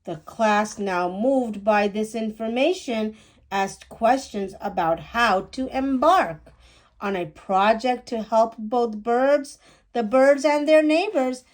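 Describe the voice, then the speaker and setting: Woman storyteller, high voice, slow deliberate pace, animated children's read-aloud. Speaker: children's picture book storyteller. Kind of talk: expressive read-aloud of a rhyming picture book.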